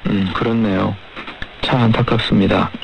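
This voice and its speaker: Low, gravelly man on shortwave radio. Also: steady tone, moderate pace. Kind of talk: measured radio discussion. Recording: faintly noisy shortwave radio broadcast.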